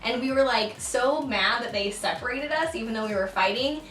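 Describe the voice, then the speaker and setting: A woman in her twenties chatting and telling family stories on her vlog, her voice bright and a little high. She sits back from the mic in an echoey room with faint music underneath. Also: normal speed. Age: 20s. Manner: chatty, laughing, storytelling. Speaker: vlogger host. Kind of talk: casual chat. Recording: roomy echo, mic at a distance, faint music.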